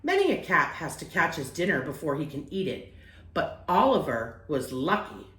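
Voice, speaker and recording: A woman's voice: deep voice, big pitch swings, normal speed, a bit bright, roomy sound. A woman reading a children's picture book aloud as a storyteller, acting out phrases and lingering on key words.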